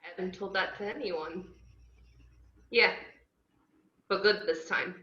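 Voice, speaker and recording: A woman's voice: medium pitch, a little slow, bright, forceful tone. A woman playing a drunk, heartbroken, brash young clown villain in an amateur script read, loose and erratic over a video call. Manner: loose, erratic, swinging from mumbles to whoops. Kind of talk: amateur screenplay table read. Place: home room on a group video call. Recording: roomy, dull video-call audio.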